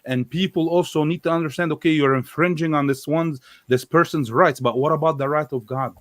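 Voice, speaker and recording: A man's voice: fairly high pitch, fairly quick, fairly bright tone, no echo. Man arguing casually, close dry sound. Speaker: guest commentator on a religious live show. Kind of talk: casual argumentative discussion.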